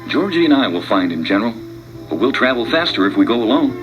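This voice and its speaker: A plain-spoken frontier hero talks in a folksy drawl with a lively, rising and falling voice, as a man acts him out. Loud music plays beneath him in this storybook recording. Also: mid-range voice, fast talker, lo-fi sound, lightly acted. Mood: steady. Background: loud background music.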